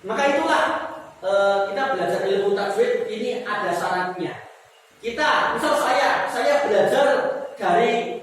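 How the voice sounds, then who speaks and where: A man's voice: high-pitched, expressive, a little slow, fairly bright tone. Man teaching in an echoing mosque hall. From Indonesia. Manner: explains step by step, repeats key words, asks the class questions. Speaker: Quran recitation teacher. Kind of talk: mosque lesson on tajwid. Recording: roomy echo with long reverb, from far off.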